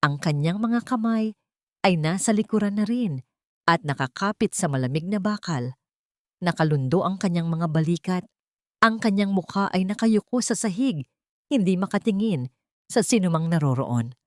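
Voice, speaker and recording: A woman with a fairly low voice reads a melodramatic story in a slow, deliberate way. She gives the scenes a heavy, theatrical weight. The sound is close and dry, with no room around it. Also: lively intonation, no echo. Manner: measured, dramatic reading. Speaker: narrator. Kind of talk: dramatic storytelling narration.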